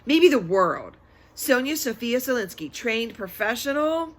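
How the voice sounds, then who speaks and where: A woman's voice: on the high side, sing-song, measured pace. A woman voicing a dramatic, bargaining young girl in a children's book, pleading and emphatic. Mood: warning. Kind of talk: children's book read-aloud.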